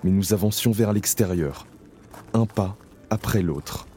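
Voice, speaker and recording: A man with a very low, grave voice narrates in the first person, slow and solemn. Each phrase is measured and heavy. Clear music plays beneath him. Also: dark tone. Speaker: narrator playing Isaac, camp prisoner. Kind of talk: audio drama narration. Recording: clear music bed under voice.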